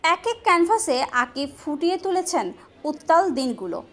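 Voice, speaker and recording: High-pitched woman narrating news. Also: lively intonation, fairly quick, noisy background. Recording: faint music behind voice. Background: faint background music.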